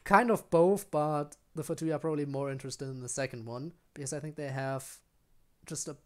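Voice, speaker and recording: A man's voice: fairly high pitch, fairly even intonation, brisk. A man giving casual live commentary while he streams a video game.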